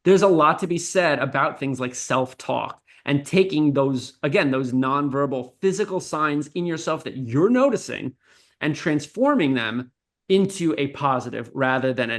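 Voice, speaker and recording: A man who teaches communication speaks as an interview guest, explaining in a teacherly way. His voice sits at an ordinary middle pitch. He checks in with frequent tag questions. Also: moderate pace.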